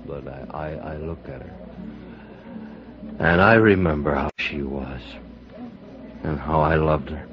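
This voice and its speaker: An actor playing a drunk, bitter middle-aged TV producer with a theatrical, rambling delivery in an old-time American radio drama. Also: male voice, very deep voice, unhurried, long pauses, soft background music. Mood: sad, heavy. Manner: rambling, mock-grand, chuckling. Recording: muffled old radio broadcast recording.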